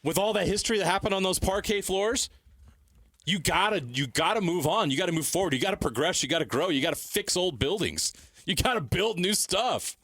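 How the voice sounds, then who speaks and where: A man with a somewhat high voice argues as a sports radio co-host. He is blunt and insistent, stacking short, repeated phrases. The studio sound is close and very dry. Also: animated, fairly quick, fairly bright tone, no echo. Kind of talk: opinionated sports talk. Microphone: close broadcast studio mic.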